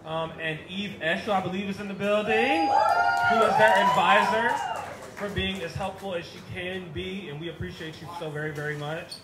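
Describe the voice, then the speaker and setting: A man's voice: sits high, very animated, normal speed. A man hosting a poetry slam on stage, chatting loosely and pumping up the crowd. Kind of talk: hyping up a live crowd. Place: night stage with string lights. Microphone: handheld mic on stand. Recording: echoey live show, loud crowd and noise.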